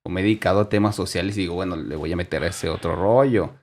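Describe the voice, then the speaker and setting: Lowish-voiced, earnest Mexican man. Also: darkish tone. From Durango, Mexico. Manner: halting, repeats words, earnest. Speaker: podcast host. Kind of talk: casual podcast conversation.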